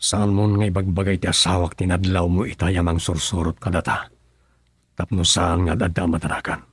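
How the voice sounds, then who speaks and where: A commanding Filipino boss in an Ilocano radio drama. His voice sits low and is recorded dry and close. He speaks to his people with guarded authority. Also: level tone, normal speed, slightly rough, no room sound. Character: commanding, secretive male boss and husband addressed as Gob.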